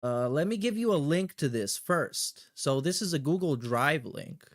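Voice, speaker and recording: Animated streamer with a slow, loose delivery. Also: medium pitch. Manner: blunt, heavily profane. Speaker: livestream host. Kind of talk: casual livestream chat, reacting.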